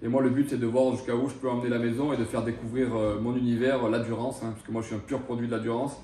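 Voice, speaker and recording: A man's voice: medium pitch, little pitch movement, rapid-fire, mellow, slight background noise. A man in his thirties, a chef, answering interview questions with lots of hesitation and restarts. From France. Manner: hesitant, many fillers and restarts. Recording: roomy echo, mic at a distance.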